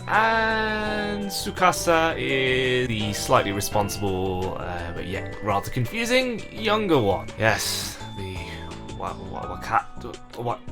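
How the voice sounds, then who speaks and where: A man's voice: on the high side, huge pitch swings, unhurried, music in the background. A man narrating a game review in a casual, comedic, chatty style with theatrical exasperation.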